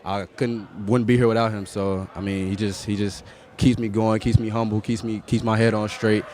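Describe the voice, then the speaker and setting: A teenage man speaks as a high school athlete. He is polite and modest, giving casual answers in a post-game interview. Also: level tone, normal speed, a little mellow. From North Carolina, United States. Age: teens, high school. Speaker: high school basketball player being interviewed.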